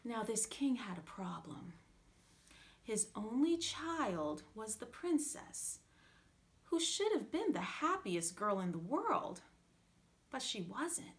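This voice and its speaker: Slow, expressive woman storyteller. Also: on the high side. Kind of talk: scripted fairytale narration for children.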